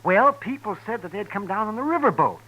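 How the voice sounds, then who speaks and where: An old frontier doctor who hedges and stammers through his answers. The voice is high, bright and swoops about a lot. A faint hiss sits under the old radio broadcast. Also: animated, brisk, dull audio, full character voice. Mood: evasive. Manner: hesitant, stammering, evasive. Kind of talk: 1950s radio drama acting.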